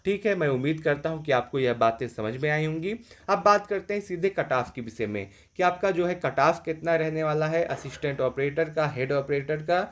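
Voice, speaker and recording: A man's voice: fairly high pitch, normal speed, hardly any pauses. A man giving an informal, step-by-step explanation as a video presenter, often repeating his points. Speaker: exam-update video presenter. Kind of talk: informal explanatory talk to exam candidates.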